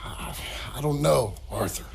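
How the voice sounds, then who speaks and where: A man playing a rough, uncertain outlaw family man in a video game, plain-spoken with an American Western drawl. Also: mid-range voice, unhurried, a little hiss or hum. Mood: hesitant. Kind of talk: naturalistic dramatic game acting.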